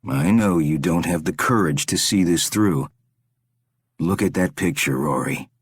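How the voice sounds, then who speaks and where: A man voicing a calm, soft-spoken captor who speaks slowly and quietly with menace. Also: deep voice, breathy edge, dry recording. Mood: menacing.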